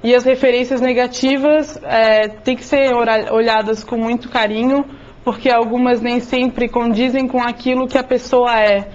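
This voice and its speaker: A woman explaining rules and advice at a seminar, steady, with occasional fillers. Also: fairly high pitch, moderate pace. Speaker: seminar panelist. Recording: dull lo-fi sound.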